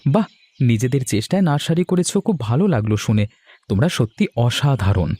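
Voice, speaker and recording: A man's voice: mid-range voice, very animated, brisk, mellow, slightly rough, no room sound. A man voicing a friendly, appreciative customer in a cartoon moral story, earnest and polite. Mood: admiring, trusting. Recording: music under the voice.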